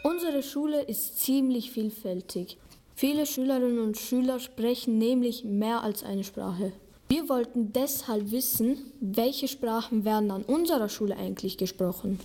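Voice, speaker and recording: A teenage girl presents a school radio show, reading her script clearly. Her voice sits fairly high. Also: moderate pace, warm tone. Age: teens.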